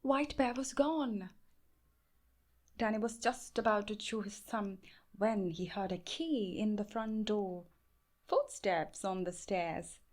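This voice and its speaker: A woman with an Indian accent reading a children's bedtime story aloud in a measured, expressive way. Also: mid-range voice. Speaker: storybook reader.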